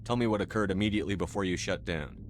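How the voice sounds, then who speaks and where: A man's voice: low voice, very fast, slight background noise, lightly acted. A man playing a businesslike military commander in a video game, speaking in a direct, terse and authoritative way. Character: brisk, businesslike male military commander questioning for information. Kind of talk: scripted video game dialogue.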